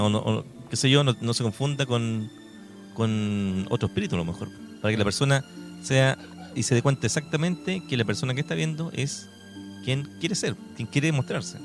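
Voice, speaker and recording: Mid-pitched Chilean man, radio co-host. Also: moderate pace. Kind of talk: conversational paranormal radio talk. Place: radio studio. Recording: music bed under studio talk. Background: music.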